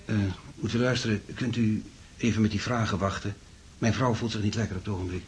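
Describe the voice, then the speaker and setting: A man's voice: fairly low pitch, steady tone, brisk, slight background noise, close to an everyday voice. An actor playing an agitated husband in a Dutch radio play, indignant and questioning, then soothing to his wife. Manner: questioning, insistent.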